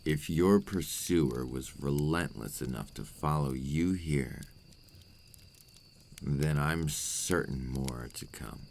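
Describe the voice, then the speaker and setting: A man's voice: rumbling low, takes it slowly, vocal fry, darkish tone, a little hiss or hum. A man playing a possessive, remorseful vampire captor in an intimate ASMR roleplay monologue, speaking in formal, old-fashioned phrases. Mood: regretful, measured.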